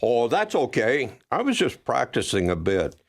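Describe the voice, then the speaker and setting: A man's voice: mid-range voice, huge pitch swings, normal speed. A man playing a friendly, polite theater organist in a radio drama, with a genial delivery. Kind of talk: old-time radio drama acting.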